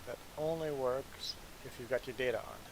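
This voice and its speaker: A man talks with his stream viewers in a loose, rambling way and often pokes fun at himself. His voice sits at a middling pitch. A faint hiss runs under the recording. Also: moderate pace. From Belize. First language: Plautdietsch (Mennonite Low German). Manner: rambling, self-deprecating, chatty. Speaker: game streamer. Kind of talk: casual live-stream chat.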